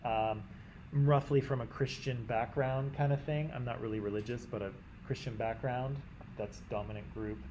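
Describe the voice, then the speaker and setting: A Canadian man teaching a class in a conversational way, often checking in with "right?" and clearing his throat. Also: mid-range voice, steady tone, moderate pace, dull audio, noisy background. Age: adult. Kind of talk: classroom lecture.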